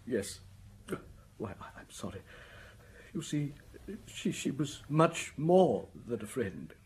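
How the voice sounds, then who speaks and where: A man's voice: mid-range voice, sing-song, slow, a little mellow, slight background noise. A man playing a timid, flustered, middle-aged little businessman in a radio drama, stammering and hesitant.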